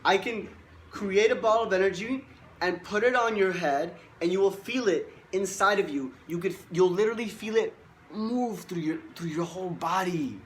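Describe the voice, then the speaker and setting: A man's voice: sits high, moderate pace. A man in his twenties, a life coach giving a boastful, emphatic motivational monologue and repeating himself for stress. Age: 20s.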